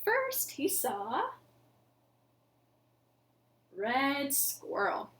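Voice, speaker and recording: A young woman hosting a children's storytime, speaking to little ones in an animated, deliberate storytelling manner. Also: sits high. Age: young adult. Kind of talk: children's storytelling and action songs. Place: living room at home.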